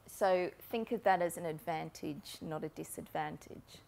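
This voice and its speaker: Lower-voiced woman giving a talk. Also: vocal fry, a little mellow, slight background noise. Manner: measured, explanatory, with frequent ums. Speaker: keynote speaker on marketing. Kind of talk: conference presentation. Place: lecture room with a projector screen. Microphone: lapel mic.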